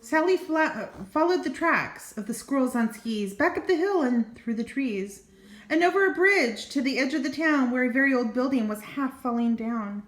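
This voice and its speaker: A woman's voice: sits high, animated, moderate pace. An older woman reading a children's story aloud as a grandmother, expressively, with chatty asides and exclamations. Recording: roomy, echoing home recording.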